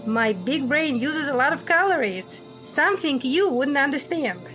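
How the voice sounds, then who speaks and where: High-voiced brash secret agent played by a woman. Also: animated, normal speed, fairly bright tone, soft background music. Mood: teasing, sardonic. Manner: sardonic, blunt. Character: brash, sharp-tongued female secret agent on the run. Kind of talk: audio drama dialogue.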